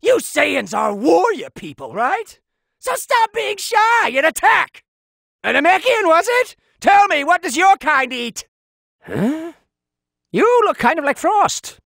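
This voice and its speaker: A man voicing a pompous, petulant, gluttonous fat cat god of destruction in an anime video game, with a gravelly, strained, blustering delivery. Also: extremely high pitch, a bit bright, dry recording, clean studio sound, exaggerated voice. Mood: cocky, idle. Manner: bratty, blustering, imperious.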